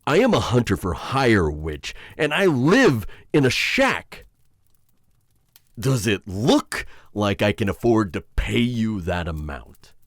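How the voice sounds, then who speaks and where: A man playing a deep, sarcastic witch hunter. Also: sing-song, slow, no room sound, studio quality, exaggerated voice. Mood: mocking. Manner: blunt and sarcastic, with swearing and scoffing laughs. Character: gruff mocking male witch hunter, a poor hired mercenary. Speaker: ASMR roleplay performer. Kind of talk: ASMR roleplay acting.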